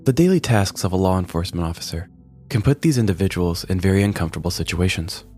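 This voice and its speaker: Low-voiced man telling a wry story over music. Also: quick pace, a little mellow. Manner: wry, mock-serious. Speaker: podcast host and storyteller. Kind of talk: scripted comedic story narration. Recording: music bed behind the voice. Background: background music.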